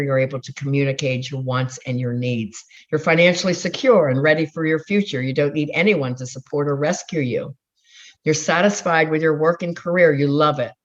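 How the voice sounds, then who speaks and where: A woman's voice: deep voice, lo-fi sound. A woman life and love coach giving a recorded class, speaking in an encouraging, motivational way straight to her listeners.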